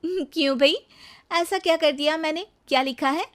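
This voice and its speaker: Woman voicing an eager, high-pitched young daughter-in-law. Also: normal speed, a bit bright. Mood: pleased. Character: eager, determined young city-bred village daughter-in-law. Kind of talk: Hindi cartoon story dialogue.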